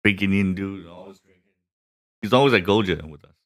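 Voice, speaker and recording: A man's voice: deep voice, a little fast, fairly bright tone, dry recording, studio quality. A man co-hosting a podcast, chatting casually and joking with friends. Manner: joking, teasing. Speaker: podcast co-host. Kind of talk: casual chat.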